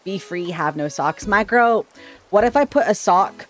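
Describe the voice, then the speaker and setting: A man chatting casually on a livestream with a slightly shrill, sharp-sounding voice, rambling playfully and tripping over his words. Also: animated, measured pace. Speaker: streamer. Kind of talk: casual livestream chat with viewers. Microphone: desk microphone.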